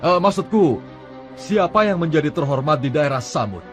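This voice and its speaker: A scheming cartoon chieftain, voiced by a man in a middle register, sly and calculating as he plots. His words are slow and wheedling, with clear music underneath. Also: a little high, expressive, close to an everyday voice. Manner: sly, plotting, ends with an evil laugh. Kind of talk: dubbed cartoon acting.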